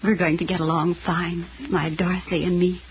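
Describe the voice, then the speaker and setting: A woman's voice: lowish voice, level tone, normal speed, lightly acted. A woman acting as a devoted, determined mother of little means in a 1940s radio drama, speaking earnestly and tenderly. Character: devoted, proud single mother, poor but determined. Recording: dull, noisy 1940 radio broadcast.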